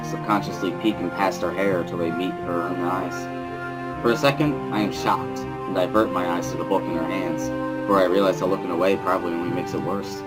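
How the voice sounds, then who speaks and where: Low-voiced man narrating dramatically over music. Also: poor audio. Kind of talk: dramatic reading, scripted narration.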